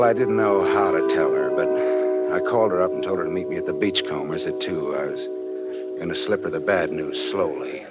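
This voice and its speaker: An actor playing a hard-boiled, wry but decent American private detective in a 1940s radio drama, terse and slangy. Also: male voice, low-pitched. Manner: terse, wry, slangy tough-guy delivery. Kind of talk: 1940s radio noir acting and narration. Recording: dull vintage 1940s radio recording, organ-like music behind.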